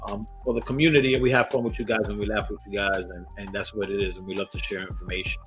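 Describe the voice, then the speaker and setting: A man hosting a casual livestream, raspy and coughing, hyping up chat with sing-song shout-outs. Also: lowish voice, little pitch movement, racing. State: coughing fits. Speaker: host. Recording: loud hip hop music behind. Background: hip hop music.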